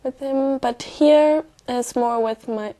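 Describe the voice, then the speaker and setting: A woman's voice: high voice, slow, mellow. A young woman from Brazil, answering exam questions hesitantly with many fillers and short laughs. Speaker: exam candidate.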